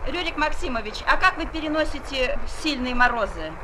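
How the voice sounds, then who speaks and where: A woman puts questions to a guest over the radio for young readers. Her voice is high and sharply bright, with a light hiss of noise behind it. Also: expressive, brisk, poor audio. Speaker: interviewer for a children's magazine. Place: radio studio. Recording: faint noise of a radio session.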